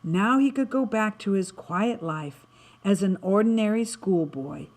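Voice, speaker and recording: A woman narrating a children's story in an expressive, dramatic way, stretching words for emphasis. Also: medium pitch, measured pace. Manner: animated, dramatic delivery with emphatic word stretching. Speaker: storybook reader.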